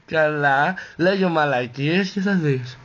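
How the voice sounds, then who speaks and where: A man's voice: on the high side, fairly bright tone. A man voicing a sassy, vain, vulgar woman in an amateur comic parody dub, with campy, exclaiming delivery. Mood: mocking, sassy. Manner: campy, swooping, crude, exclaiming. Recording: muffled, noisy home recording.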